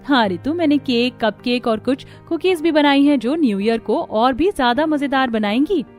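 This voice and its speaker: A woman's voice: high voice, animated, moderate pace, darkish tone, soft background music. A woman voicing a sweet, dutiful young housewife daughter-in-law in a cartoon. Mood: cheerful.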